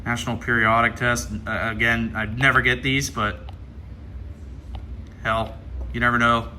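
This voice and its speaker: Man, American accent, bright forceful voice, casual unboxing commentary, thinking aloud, noisy background. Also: medium pitch, level tone, unhurried. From Ohio, United States. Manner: thinking aloud, muttering through menu items. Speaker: radio hobbyist presenting an unboxing. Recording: clear background noise.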